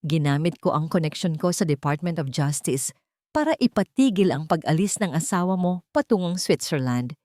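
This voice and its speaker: A woman narrator acts a shrewd older tycoon, the hero's rival, in an audio drama. He is measured and authoritative, slightly low in pitch, recorded dry. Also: animated, darkish tone, no echo. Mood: stern, measured. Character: shrewd older male business rival with principles.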